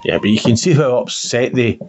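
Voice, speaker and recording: A man argues politics with indignant emphasis, repeating words to hammer points home. His mid-pitched voice has a slightly rough edge, and the recording sounds dull. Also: a little fast, a little mellow. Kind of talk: political discussion, argumentative. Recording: dull, muffled lo-fi audio.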